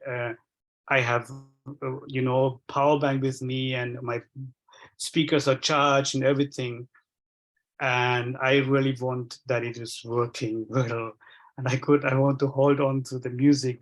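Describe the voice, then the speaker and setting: A man from Sri Lanka whose first language is Tamil, sharing his experience of energy work in a halting, reflective way with many restarts. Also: mid-range voice.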